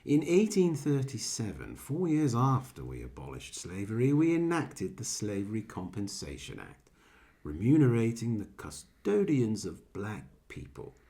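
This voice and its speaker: A man's voice: fairly low pitch, wildly swooping pitch, a little slow, mellow, full character voice. A man playing a smug, patronising political leader in a satirical radio play, with a glib, pompous manner. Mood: smug, condescending. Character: smug, flippant British male political leader, breezy and patronising.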